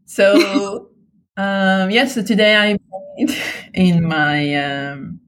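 A woman speaks as an interview guest, answering in a thoughtful and hesitant way. She pauses often with ums and searches for her words as she goes. Her voice sits at an ordinary middle pitch. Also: fairly even intonation, a bit bright. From Israel. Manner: thoughtful, hesitant, many ums, laughs easily. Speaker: guest artist.

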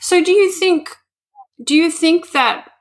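A woman's voice: extremely high pitch, slow pace. A woman from Australia, interviewing a guest in a chatty, rambling way. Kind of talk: casual interview.

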